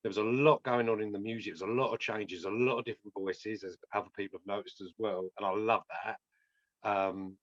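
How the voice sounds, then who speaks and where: A man's voice: fairly low pitch, steady tone, brisk, no echo. A man giving an enthusiastic and encouraging review in a casual chat. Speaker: guest reviewer. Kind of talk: casual video call chat. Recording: dull lo-fi mic.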